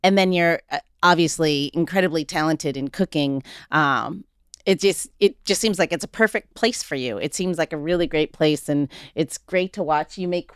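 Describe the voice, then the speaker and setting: A woman's voice: low-pitched, moderate pace, clean tone, no room sound, clean studio sound. A woman hosting a casual podcast chat in a complimentary, encouraging manner. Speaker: podcast host.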